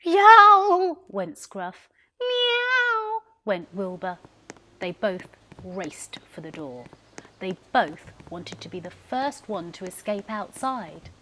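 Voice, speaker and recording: A woman's voice: on the low side, takes it slowly, a little hiss or hum. A woman professional storyteller narrating a children's story expressively, leaning into the sound words. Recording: music behind the voice. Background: music.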